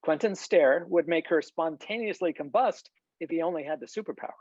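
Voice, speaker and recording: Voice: mid-range voice, fairly quick, darkish tone, no echo. A narrator reading stage directions in a scripted screenplay table read. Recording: slightly dull video-call audio, dry.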